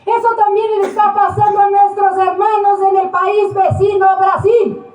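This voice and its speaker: A woman's voice: extremely high pitch, level tone, normal speed, a little mellow, voice pushed up. A woman voicing an indignant female donkey who gives a solemn, declamatory protest speech in a stage play. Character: indignant female donkey activist making a public denunciation. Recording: boxy, echoey stage recording.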